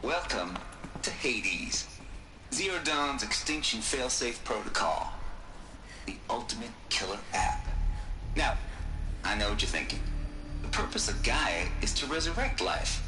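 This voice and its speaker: An irreverent tech man in a game, presenting like a wry showman with colloquial swagger. His mid-pitched voice rings in a roomy echo over clear music. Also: sing-song, measured pace, pauses often. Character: irreverent folksy tech expert presenting an extinction protocol. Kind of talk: dramatic game monologue, recorded briefing.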